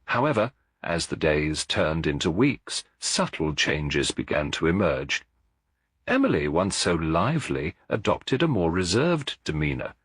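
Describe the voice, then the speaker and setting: A man narrating a detective story as a scripted audiobook, in a measured, formal storytelling manner with a husky voice. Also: sits low, sing-song, a bit bright, no echo, clean studio sound.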